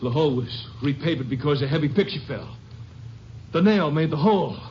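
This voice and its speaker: An actor playing a cornered, defiant gambler in a 1950s radio drama, protesting in an agitated, creaky voice. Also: male voice, a little high, animated, fairly quick, natural voice. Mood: panicky, defiant. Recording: dull, faintly noisy vintage radio recording.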